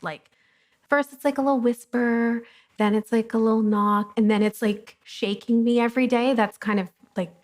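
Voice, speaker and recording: Woman, business co-founder, chatty interview guest, frank and casual. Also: medium pitch. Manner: chatty and candid, with frequent ums. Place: coworking studio, fireside chat before an audience.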